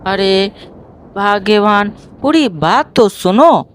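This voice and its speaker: Man voicing a slow-talking, high-pitched greedy cartoon crow. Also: expressive. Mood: greedy scheming. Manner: pleading, drawn-out, scheming. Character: hungry, greedy, whining male crow husband.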